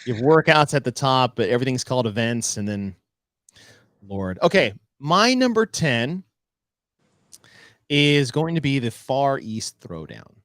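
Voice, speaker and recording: A man's voice: medium pitch, sing-song, a little slow, fairly bright tone, no echo, studio quality. A man co-hosting a casual podcast, teasing his partner and laughing often as he talks. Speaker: podcast co-host.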